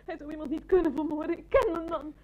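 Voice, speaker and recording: High-pitched, pleading wife. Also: female voice, steady tone, quick pace, mellow. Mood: distressed, pleading. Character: distressed, pleading loyal wife. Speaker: radio drama actor. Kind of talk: Dutch radio play acting. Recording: dull, band-limited radio play recording.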